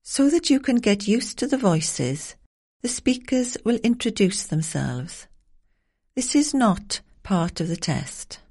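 A woman announces the parts of a listening exam in a measured, formal manner. She speaks very slowly, and her pitch moves widely. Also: very animated, darkish tone, breathy edge. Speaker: exam narrator.

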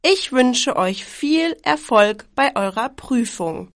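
A woman from Germany reading scripted lines slowly and clearly for a listening exam. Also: on the high side, expressive, no echo, clean studio sound. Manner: slow, clearly articulated reading. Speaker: exam recording speaker.

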